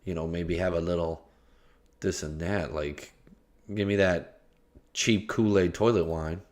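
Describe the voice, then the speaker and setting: A man talks over a stream in a slow, unhurried drawl, pitched on the low side. He jokes, hesitates and restarts his sentences, and sometimes breaks into scatted filler syllables. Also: fairly even intonation, darkish tone. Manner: joking, hesitant, trails off and restarts, scats nonsense syllables. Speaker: streamer reacting to a video. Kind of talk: rambling casual commentary.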